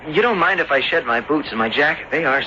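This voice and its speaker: Casting: man as earnest young sailor for vintage radio drama, lighter higher pitch, halting courteous speech, muffled sound. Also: expressive, lightly acted. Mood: polite, puzzled. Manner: hesitant, halting, polite. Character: earnest, adventurous young seafaring farmer's son. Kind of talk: old-time radio drama acting. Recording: dull, noisy old radio recording.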